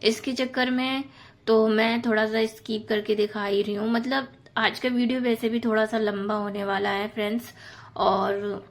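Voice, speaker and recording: A woman in her thirties with a hoarse, sore throat, chatting casually to viewers about her housework in a voice-over. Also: medium pitch. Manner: chatty, many fillers, addresses viewers as friends. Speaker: home vlogger.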